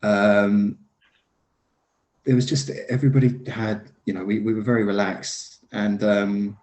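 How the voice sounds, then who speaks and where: Hesitant man with a low voice. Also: level tone, a little slow, darkish tone. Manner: hesitant, many fillers and restarts; laughs easily. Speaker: lead actor guest. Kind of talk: casual interview chat. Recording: echoey room.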